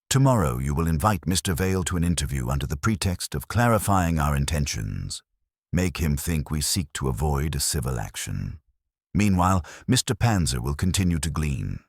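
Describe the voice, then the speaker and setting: Casting: pompous older master detective, played by a male reader, very low register, formal and commanding, audiobook mystery. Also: husky, dry recording, clean studio sound, full character voice. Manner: formal, ornate, commanding wording.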